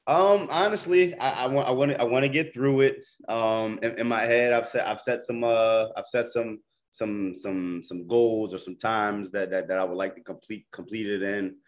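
A man's voice: fairly low pitch, moderate pace. A man in his thirties with an American accent, chatting informally as a guest and often repeating his words as he goes. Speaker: podcast guest, first-time triathlete. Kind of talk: casual chat.

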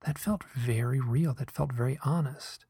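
Brief: earnest soft-spoken man, gravelly husky tone, dry close sound, musing monologue. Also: mid-range voice, normal speed, dry recording. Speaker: podcaster recounting a hypnosis session. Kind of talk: reflective personal monologue.

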